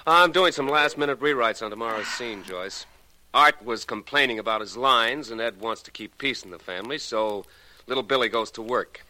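An actor playing a slangy, embittered Hollywood screenwriter with a wry manner in 1940s radio drama style. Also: male voice, mid-range voice, moderate pace, pushed and bright, slight background noise, poor audio. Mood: wry, friendly.

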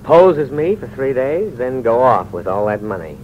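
A man's voice: mid-range voice, sing-song, normal speed, natural voice. A man acting a sardonic, fatalistic heir who taunts and goads in theatrical 1940s radio drama style. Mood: goading. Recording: muffled old radio recording, faint noise.

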